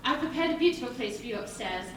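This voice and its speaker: A woman's voice: fairly high pitch, animated, fast talker, a bit bright. An actress playing a prim, strict but loving mother in a theatrical stage play, proper and admonishing. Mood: stern, prim. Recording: echoey stage recording, distant mic, faint noise.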